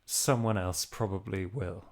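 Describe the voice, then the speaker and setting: Stern priest and monster hunter played by a man: low, very deliberate pace, defiant, tabletop horror game. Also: fairly even intonation. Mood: guarded defiance.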